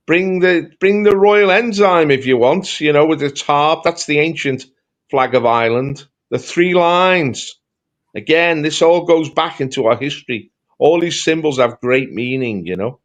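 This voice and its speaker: Emphatic Scouse man talking politics. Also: medium pitch. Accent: Liverpool Scouse. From Liverpool, England. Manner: emphatic, rhetorical, repeats phrases. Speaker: political commentator. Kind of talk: impassioned political talk show discussion.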